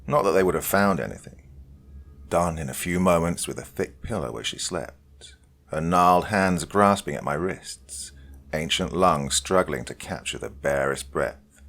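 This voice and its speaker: A man with a deep, gravelly voice reads a dark tale with theatrical swings in volume. He drops to hushed whispers and then rises again. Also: lively intonation, normal speed. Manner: dramatic, swinging between loud and whispered. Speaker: story reader. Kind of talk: dramatic horror story reading.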